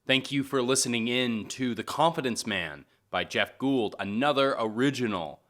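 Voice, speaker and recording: A man's voice: mid-range voice, moderate pace, a bit bright. A man making a casual donation appeal as a presenter, informal and chatty. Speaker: theater fundraiser presenter.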